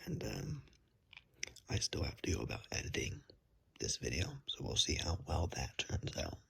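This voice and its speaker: Low, very raspy whispering man. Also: huge pitch swings, normal speed, a bit bright. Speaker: ASMR channel creator. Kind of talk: ASMR, casual whispered chat to viewers. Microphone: phone, no external microphone. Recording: iPhone built-in microphone.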